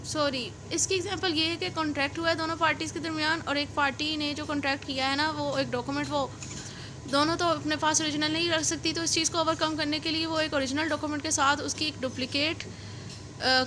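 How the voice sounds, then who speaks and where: A woman's voice: high-pitched, steady tone, a little fast, noisy background. A woman with a Pakistani accent giving an explanatory law lecture step by step, rephrasing her points and mixing in English legal terms.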